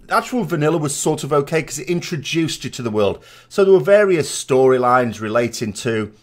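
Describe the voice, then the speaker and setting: A man's voice: medium pitch, a little mellow. A man hosting a gaming channel in a casual, conversational podcast style, rambling and digressive, with emphatic, opinionated delivery.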